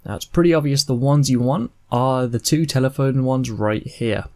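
A man in his twenties narrating a software tutorial in an informal, step-by-step way. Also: mid-range voice, moderate pace. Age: 20s. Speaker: tutorial host.

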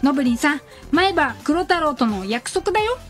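A woman acts out a sweet young idol in a radio comedy skit. Her voice is high and cutesy, politely coaxing, with faint music behind. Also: very animated, normal speed, fairly bright tone. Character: sweet young female voice-actress idol, gently chiding.

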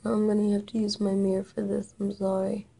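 A woman's voice: medium pitch, completely flat delivery, a little fast, vocal fry, dark tone, breathy edge. A young woman in her twenties chatting casually through a makeup tutorial, sniffly from a cold, recorded on a webcam in a small room. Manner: chatty, offhand, self-deprecating. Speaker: makeup vlogger. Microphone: built-in webcam mic at arm's length.

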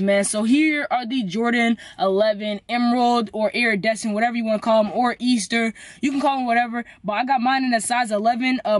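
A teenage boy with an American accent, chatting casually and slangily to the camera as a vlogger. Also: very high-pitched. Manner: slangy, informal. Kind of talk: casual vlog chat to camera. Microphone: camera at arm's length.

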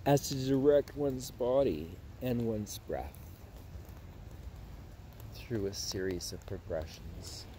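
A man in his fifties talking slowly and musingly while doing yoga in a forest, slightly out of breath, recorded on a phone camera set on the ground. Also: lowish voice, a little hiss or hum. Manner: slow, musing, drawn-out phrases with sighs and soft laughs. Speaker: yoga instructor. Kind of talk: meandering vlog talk while doing yoga. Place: outdoors in a forest.

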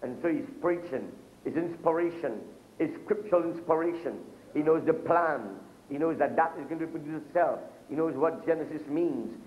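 A man's voice: on the high side, normal speed, darkish tone, a little hiss or hum. A middle-aged man preaching a sermon at a pulpit, gesturing and calling out to the congregation. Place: church hall with curtains, speaking at a pulpit. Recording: echoey church room, dull lo-fi video sound.

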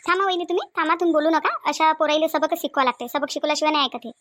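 A woman's voice: sits high, fairly even intonation, a little hiss or hum. A woman voicing a feisty, fearless young cartoon woman who scolds sharply, with a Varhadi Marathi accent from Vidarbha. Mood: angry, scolding. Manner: sharp, scolding, rapid-fire. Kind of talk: cartoon comedy dialogue.

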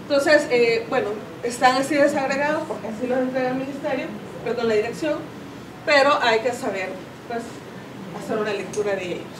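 A woman in her thirties with a Salvadoran Spanish accent, presenting figures to a seminar audience and often pausing to correct and rephrase herself. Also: sits high. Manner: explanatory, self-correcting, many fillers. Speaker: conference speaker. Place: conference room with audience. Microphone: far camera, no mic seen. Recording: roomy, distant camera audio with background noise.

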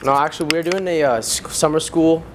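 Young male vlogger, slightly high voice, loose joking delivery, phone mic with loud noise behind. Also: brisk. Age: young adult. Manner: joking, rambling with restarts. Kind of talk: casual prank vlog. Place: furniture store, then gym.

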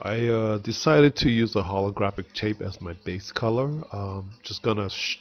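A man giving casual, halting how-to narration as the host of a hobby craft video. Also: lowish voice, lo-fi sound, music in the background.

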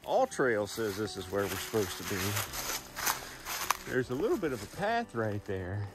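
A man's voice: fairly low pitch, big pitch swings, moderate pace, heavy background noise. A man in his thirties co-hosting a casual hiking vlog, upbeat and teasing, talking outdoors in winter woods into a handheld phone. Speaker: vlog co-host. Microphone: handheld phone camera.

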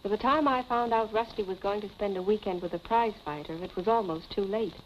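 Poised, firm young American wife played by an actress, vintage film melodrama, muffled hissy old recording. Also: female voice, mid-range voice, brisk, lightly acted. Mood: composed. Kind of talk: 1940s film acting. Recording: dull, noisy 1940s film soundtrack.

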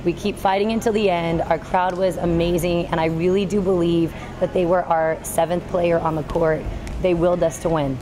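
A woman in her thirties, a girls volleyball coach, giving an earnest postgame interview in a high school gym, working through her thoughts with small restarts. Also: steady tone, normal speed. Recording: noisy gym ambience.

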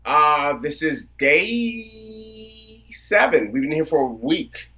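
A man's voice: fairly high pitch, takes it slowly. Man telling stories over a dull video call. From United States, Washington DC area. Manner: relaxed storyteller, laughs easily. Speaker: guest rapper. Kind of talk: casual interview on a video call. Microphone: video call from a phone or laptop.

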